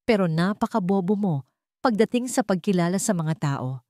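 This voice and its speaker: A woman's voice: medium pitch, lively intonation, normal speed, mellow, dry recording, studio quality, natural voice. A woman voicing a proud, hurt young mother, fiercely protective and emotional, in a story drama. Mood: furious, defiant. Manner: defiant, emotional.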